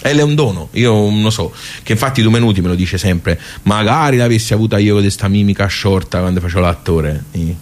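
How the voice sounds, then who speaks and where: A man's voice: on the low side, brisk, few pauses, lightly acted. A man acting a radio monologue as a wry, rueful, talkative social club regular, with a Tuscan accent and a rambling, colloquial way of asking and answering himself. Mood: boastful, amused. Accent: Tuscan Italian.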